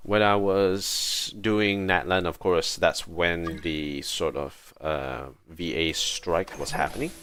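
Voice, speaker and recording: A man giving casual live gameplay commentary as a streamer, thinking aloud in halting short phrases. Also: low-pitched, fairly even intonation, a bit bright, clean tone. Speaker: game livestreamer.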